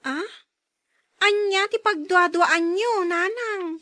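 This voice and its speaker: A woman's voice: very high voice, slow, sharp tone, no room sound, clean studio sound, full character voice. A woman voicing an innocent young child in an audio drama. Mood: puzzled. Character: innocent young child running errands for money.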